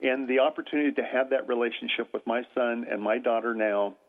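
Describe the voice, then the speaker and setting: An older man speaks loudly as a guest on a radio talk show. He hesitates a lot, with fillers and restarts. His voice sits at an ordinary pitch, and the sound is tinny and phone-like. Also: steady tone. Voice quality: loud voice. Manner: halting, many fillers and restarts.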